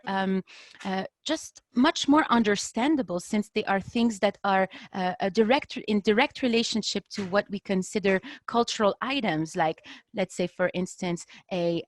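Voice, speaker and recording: A woman from Lebanon speaks off the cuff as a panelist on a video call. Her pitch is medium and her delivery is earnest and halting, often reaching for the right word. The sound is dry, with very little room in it. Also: no echo. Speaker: panel speaker, design activist.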